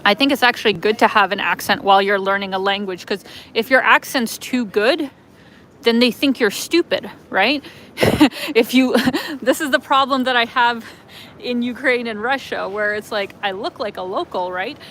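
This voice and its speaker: A Canadian woman in her thirties, her voice sitting a little high, talks in a loose and rambling way full of fillers. She records on a handheld phone out on city streets, with faint street noise behind her. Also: normal speed, fairly bright tone. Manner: chatty, rambling, many fillers and laughs. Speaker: travel vlogger. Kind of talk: live walking-tour chat.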